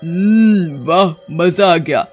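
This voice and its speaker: A woman voicing a gloating evil witch in a horror cartoon, taunting her victims with drawn-out threats and cackles. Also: fairly low pitch, darkish tone, husky, dull audio, soft background music. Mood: gloating, commanding. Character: gloating evil witch who hunts villagers. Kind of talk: Hindi horror cartoon villain acting.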